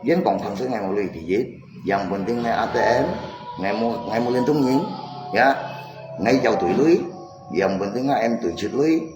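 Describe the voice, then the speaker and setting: A man's voice: lowish voice, moderate pace. A man chatting casually and insistently on a live stream, often repeating himself. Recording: echoey room, music mixed in. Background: music.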